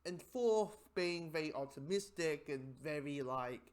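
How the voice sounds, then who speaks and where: A man giving a casual spoken review in a rambling, halting way, often repeating his phrases. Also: fairly high pitch, animated.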